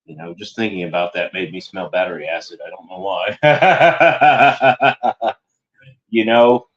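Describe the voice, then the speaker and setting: A man's voice: sits low, measured pace, a bit bright, muffled recording. A man hosting an internet show, delivering a meandering, sardonic monologue that keeps correcting itself. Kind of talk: rambling monologue commentary.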